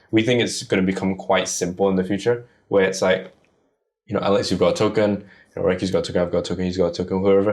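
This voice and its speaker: A man from Singapore speaks in a deep, low voice as a podcast guest. He explains things persuasively and at length, stacking one example on another in long lists. His delivery sounds like a relaxed interview conversation. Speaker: guest, tech founder.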